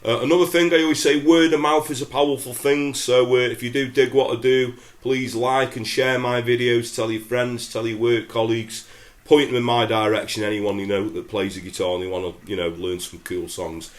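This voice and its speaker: A man's voice: mid-range voice, a little fast. A middle-aged English man with a head cold and nasal voice, chatting casually through a guitar lesson with lots of fillers. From England. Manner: chatty, relaxed, many fillers. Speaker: guitar teacher. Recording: roomy sound with loud acoustic guitar.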